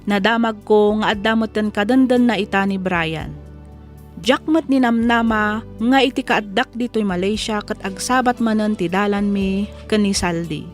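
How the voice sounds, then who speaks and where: A woman's voice: medium pitch, normal speed. A woman with an Ilocano accent giving dramatic scripted narration, reading a letter for a radio drama. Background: music.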